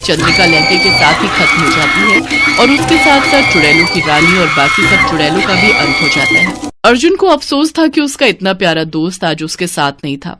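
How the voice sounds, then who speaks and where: A woman's voice: on the low side, pushed and bright. A woman narrating a scripted story as a storyteller.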